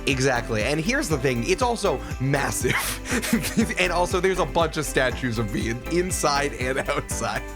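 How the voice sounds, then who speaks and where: A man's voice: mid-range voice, normal speed. A man riffing jokily and casually as a player in an improvised tabletop roleplaying game. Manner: jokey, animated riffing. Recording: clear background music bed. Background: music.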